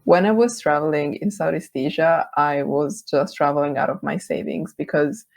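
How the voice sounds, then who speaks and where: A woman with an Italian accent whose first language is Italian, chatting as an interview guest, friendly and quick to laugh. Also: low voice, gravelly, a little mellow, no echo. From Italy. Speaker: guest, brand designer. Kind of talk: casual interview chat.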